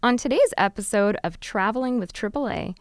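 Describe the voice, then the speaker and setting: A woman's voice: fairly high pitch, fairly quick, no echo, clean studio sound. A woman hosting a travel interview podcast, moving between scripted introduction and conversational questions. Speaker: podcast host.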